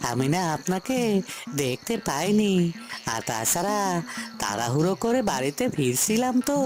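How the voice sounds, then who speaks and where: A woman's voice: deep voice, a little mellow. A woman voicing a shy, polite, apologetic young village man in a cartoon, speaking hesitantly. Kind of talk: Bengali moral-story cartoon dubbing. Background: music.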